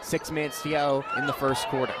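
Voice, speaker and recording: A man's voice: medium pitch, little pitch movement, a little fast. A young man calling live basketball play-by-play on radio with energy, raising his voice for big plays. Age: young adult. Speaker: play-by-play sports commentator. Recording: loud crowd and gym noise behind.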